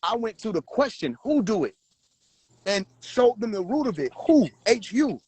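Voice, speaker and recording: A man hosting a livestream, talking forcefully and preaching directly at his viewers. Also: sits high, sing-song, moderate pace, breathy edge, dry recording, rough recording. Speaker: livestream host. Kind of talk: casual livestream talk.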